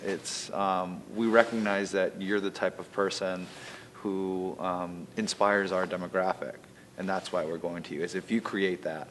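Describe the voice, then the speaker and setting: Deep-voiced man, arts organizer in interview, loose rambling delivery, faint background hiss. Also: flat delivery, unhurried, fairly bright tone, rough recording. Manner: rambling, heavy use of fillers like you know and um. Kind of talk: interview answer.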